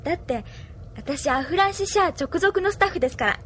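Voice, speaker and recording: A woman's voice: very high voice, animated, a little fast, a bit bright, a little hiss or hum. A woman playing a sweet, earnest young staffer devoted to her leader in an audio drama, polite and girlish. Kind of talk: anime-style audio drama acting.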